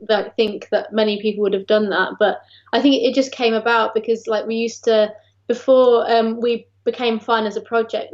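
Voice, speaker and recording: English woman, flat steady voice, muffled call audio, friendly chat. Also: a little high. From England. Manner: friendly, laughs often, uses fillers. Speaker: singer-songwriter guest. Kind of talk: casual interview answers. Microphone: remote call.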